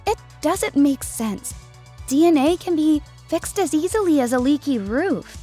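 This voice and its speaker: A woman voicing a weary, skeptical, grumbling female furred alien in a narrated sci-fi story, quiet and sullen. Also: high-pitched, lively intonation, a little slow, soft background music, pitched above the performer's own voice. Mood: quietly frustrated. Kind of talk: audio fiction character acting.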